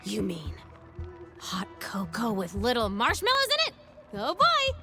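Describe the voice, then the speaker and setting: An actress playing a curious, friendly young heroine in a comic video game, bubbly, playful and wide-eyed. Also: female voice, a little high, huge pitch swings, measured pace. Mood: excited. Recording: music behind the voice.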